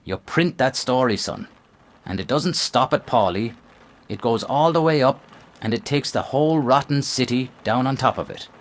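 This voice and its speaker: An actor playing a weary, haunted old police lieutenant in a 1930s noir radio drama, bitter and resigned. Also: male voice, medium pitch, moderate pace, lightly acted. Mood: resigned, shaken. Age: older.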